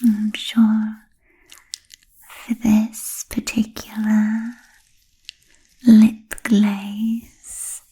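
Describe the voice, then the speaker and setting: An ancient, genteel vampire lady played by a woman whispers in a breathy, husky hush for an ASMR roleplay. She is fussy and politely absent-minded, drawing out formal phrases very slowly with hesitant gaps. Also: medium pitch, completely flat delivery, full character voice, rough recording. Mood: gracious, musing. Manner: halting, hesitant, formal old-fashioned phrasing.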